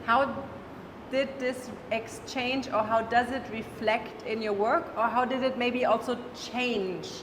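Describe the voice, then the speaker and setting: A woman with a fairly high voice moderates a panel discussion in loose, unscripted sentences. Her words echo around a hall with clear noise in the background. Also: fairly even intonation, takes it slowly. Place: large reverberant indoor event space.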